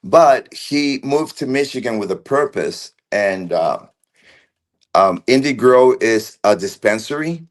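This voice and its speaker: A man of Argentine background, a guest on a video call, recalling events slowly with many fillers, restarts and pauses. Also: mid-range voice, expressive. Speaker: interview guest. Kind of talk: casual recollection.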